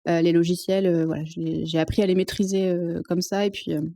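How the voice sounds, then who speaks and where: A woman's voice: lowish voice, level tone, fairly quick, vocal fry, warm tone. Hesitant woman from northern France. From Arras, northern France. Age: 30s. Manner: hesitant, many restarts and fillers, laughs often. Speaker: podcast guest, photographer. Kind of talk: casual conversation.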